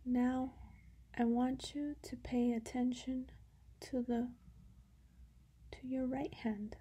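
A woman leading a guided meditation in a soft, breathy voice that often sinks toward a whisper, giving calm instructions with long pauses. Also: sits high.

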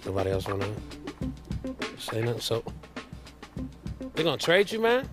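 An American actor playing an outspoken, grumbling barber in a video game, gravelly and dryly sarcastic. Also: male voice, mid-range voice, moderate pace, music in the background, close to an everyday voice. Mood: agitated. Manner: deadpan, sarcastic, complaining. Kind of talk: comic video game cutscene dialogue.

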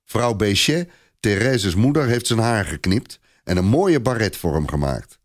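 Guy with a lowish voice telling a story, dramatic narration. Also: animated, unhurried.

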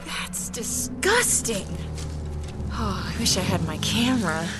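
A woman's voice: mid-range voice, big pitch swings, takes it slowly. A woman playing a feisty, sarcastic young adventurer with an American accent in a cinematic video game, speaking in a sassy, skeptical, casual way. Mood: disgusted. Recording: loud music behind the dialogue.

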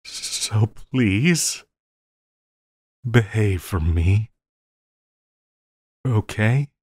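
A man playing a possessive supervillain lover in an intimate audio roleplay, coaxing and pleading with a halting delivery and quiet menace. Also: lowish voice, lively intonation, slightly rough, dry recording, clean studio sound, heavily acted.

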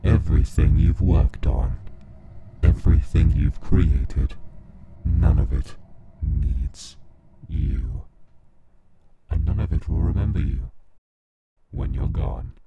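A man voicing an ancient deathless monster, coolly menacing and mocking, speaking deliberately and cryptically in a comic dub. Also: rumbling low, creaky, narrow, tinny audio, a little hiss or hum, full character voice. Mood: menacing, cryptic. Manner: slow, deliberate, cryptic, condescending. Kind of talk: dramatic comic dub voice acting.

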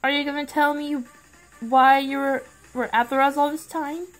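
A woman's voice: high voice, fairly even intonation, normal speed, natural voice. A woman voicing a suspicious young cartoon wolf boy in an amateur comic dub, blunt, slangy and challenging. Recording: background music under the voice.

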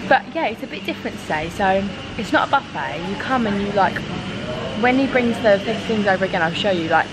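A woman's voice: mid-range voice, expressive, a little fast, heavy background noise. A woman in her twenties with a British accent, chatting casually to a handheld camera as a travel vlogger. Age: 20s. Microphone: camera at arm's length.

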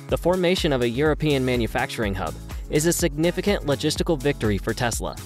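Male narrator, mid-range pitch, scripted explainer read with faint music bed. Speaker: video narrator. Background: background music.

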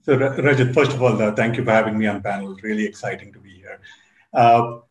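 Restless panelist in a roomy room. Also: male voice, on the low side, quick pace. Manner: enthusiastic, many repeated restarts. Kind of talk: panel discussion on a video call. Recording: roomy video-call audio.

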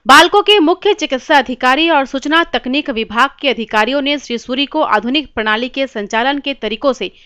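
A woman narrating a scripted news report in a formal, measured reading style. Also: high-pitched, bright, forceful tone, clean tone, dry recording, clean studio sound.

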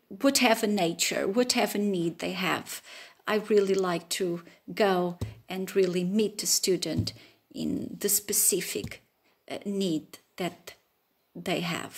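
A woman in her fifties from Portugal speaks English with a Portuguese accent, introducing herself to the camera indoors. Her delivery is slow and earnest, with many pauses and fillers. Her voice carries a gravelly edge. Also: fairly low pitch. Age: 50s. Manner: earnest, hesitant with frequent fillers. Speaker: language teacher. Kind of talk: self-introduction video. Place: room with wooden ceiling, indoors.

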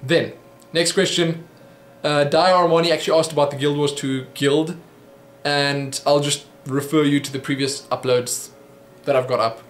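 Young man, twenties, South African English accent, slightly high voice, rambling casual vlog delivery, webcam in an echoey room. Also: steady tone, moderate pace, a little hiss or hum. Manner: rambling, chatty, frequent ums and restarts. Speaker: vlogger answering viewer questions. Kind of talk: casual Q&A monologue. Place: small home office room. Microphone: webcam at desk.

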